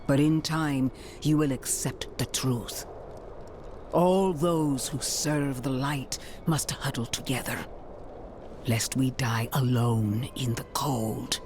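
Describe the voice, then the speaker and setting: An actor playing a grave, righteous leader of holy knights in a game cinematic, speaking in a measured, preacher-like way. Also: male voice, mid-range voice, fairly even intonation, background noise, close to an everyday voice. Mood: solemn, persuasive. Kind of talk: dramatic game cinematic acting.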